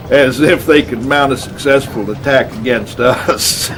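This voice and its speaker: An actor playing a folksy, gruff, confident American army general in a game cutscene, talking informally. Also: male voice, medium pitch, slight background noise, close to an everyday voice, low-quality recording. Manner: folksy, informal, drawn-out hesitations. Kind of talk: dramatic game cutscene acting.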